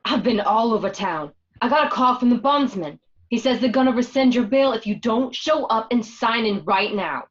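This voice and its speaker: An angry, worried gangster's wife played by a woman in a radio play. The voice is on the high side and moves a lot in pitch, over lo-fi audio. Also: lively intonation, normal speed, lightly acted. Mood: angry, urgent. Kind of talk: radio drama acting.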